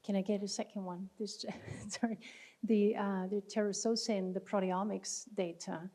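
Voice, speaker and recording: Woman, audience questioner, mellow warm tone, thinking aloud, tag questions. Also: mid-range voice, fairly even intonation, normal speed. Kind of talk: conference Q&A question. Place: lecture hall.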